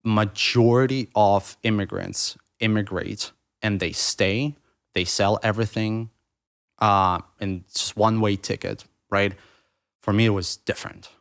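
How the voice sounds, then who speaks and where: A man's voice: lowish voice, steady tone, unhurried, vocal fry. A man in his thirties, originally from Ukraine with Russian as his first language, talking reflectively as a podcast guest, rambling with restarts and fillers. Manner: rambling, restarts mid-thought, many fillers. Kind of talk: reflective conversational interview.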